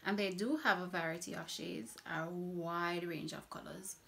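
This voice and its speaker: A woman's voice: lowish voice, steady tone, normal speed, gravelly, a bit bright. A young woman from Trinidad, a makeup artist reviewing products in a casual vlog, enthusiastic and repeating words for emphasis.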